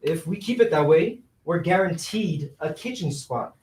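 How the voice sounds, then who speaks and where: Man acting a high-voiced scheming construction worker. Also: lively intonation, moderate pace, a little mellow, lightly acted. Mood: insistent. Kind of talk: staged reading of a play. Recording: roomy, distant, noisy microphone.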